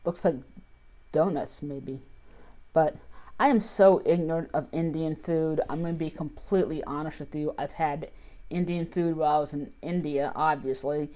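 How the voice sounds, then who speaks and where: A woman's voice: low-pitched, fairly even intonation, moderate pace, warm tone. An American woman giving casual reaction commentary to a video, speaking into a headset. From United States.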